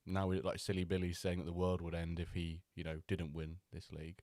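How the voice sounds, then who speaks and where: A man hosting a live stream, chatting loosely with many pauses and fillers, still a little nasal from a cold. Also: low voice, monotone, a little mellow, dry recording, studio quality. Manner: chatty, rambling, with many ums and restarts. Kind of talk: casual live-stream chat.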